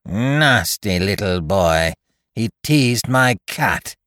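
A man voicing a suspicious, grudging old woman in her eighties who speaks slowly and accusingly. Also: on the low side, big pitch swings, a bit bright, dry recording, clean studio sound, full character voice. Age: 80s. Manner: slow, crotchety, accusing.

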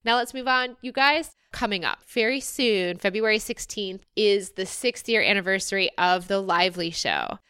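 A woman's voice: medium pitch, expressive, moderate pace, few pauses, bright, forceful tone, clear, resonant tone, no echo, studio quality. A woman hosting her own podcast, talking in a chatty, upbeat way and laughing often. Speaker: podcast host. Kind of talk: casual solo podcast monologue.

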